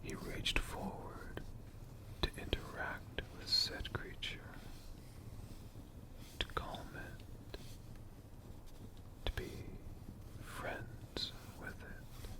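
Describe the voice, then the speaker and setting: An ASMR storyteller whispering a tale in a hushed, lingering manner, pausing and repeating words. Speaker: ASMR storyteller, channel host.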